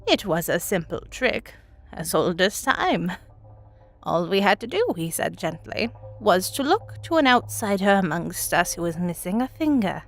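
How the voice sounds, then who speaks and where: A woman voicing a very elderly village woman, telling a grim tale from her childhood in a folksy, deliberate, dramatic manner. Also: mid-range voice, very animated. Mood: wry, ominous. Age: old woman as played. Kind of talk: dramatic first-person storytelling.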